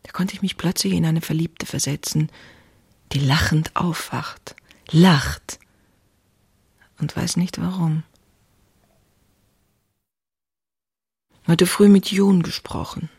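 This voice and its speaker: An actress playing a passionate, anxious woman writer in her thirties, reading her diary in an intimate, confessional way with a husky, breathy voice. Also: sits low, steady tone, measured pace, a little mellow, dry recording, clean studio sound, full character voice. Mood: intense, wistful. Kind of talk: dramatic literary diary reading.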